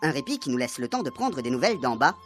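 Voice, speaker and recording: A man delivers scripted radio soap narration as the storyteller. Music plays clearly behind his voice. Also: mid-range voice, big pitch swings, quick pace, fairly bright tone.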